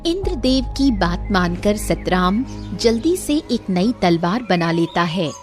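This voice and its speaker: A woman's voice: mid-range voice, big pitch swings, takes it slowly. A woman reading scripted narration for a children's moral story. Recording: clear background music. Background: music.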